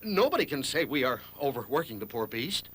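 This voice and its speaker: A man's voice: mid-range voice, big pitch swings, a little fast, fairly bright tone, slightly rough. A man playing an earnest, exasperated peasant father in a cartoon fable. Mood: determined. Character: bewildered, exasperated poor father with a donkey. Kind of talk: animated fable dialogue.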